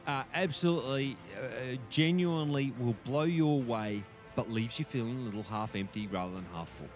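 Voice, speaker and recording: A man's voice: mid-range voice, normal speed, faint music behind. An Australian man speaking casually as a podcast guest, hedging and repeating words for emphasis. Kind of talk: casual chat.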